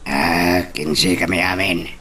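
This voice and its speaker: An actor with a Filipino accent playing a guarded, plain-spoken personal driver in an audio drama, casual and questioning. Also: male voice, sits low, fairly even intonation, a little slow, pushed and bright, husky, full character voice. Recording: noticeable background noise.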